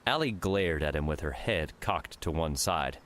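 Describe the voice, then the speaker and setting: A man's voice: rumbling low, animated, unhurried, a bit bright, slight background noise. A man reading scripted fiction narration as an audiobook narrator. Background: music.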